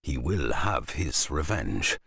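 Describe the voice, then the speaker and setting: A grave saga storyteller narrates a Viking video game. The voice is extremely deep, gravelly and dry, moving slowly and solemnly through each line. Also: male voice, very animated, pushed and bright, no echo, exaggerated voice. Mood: solemn, portentous. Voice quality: gravelly, husky. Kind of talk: dramatic scripted saga narration.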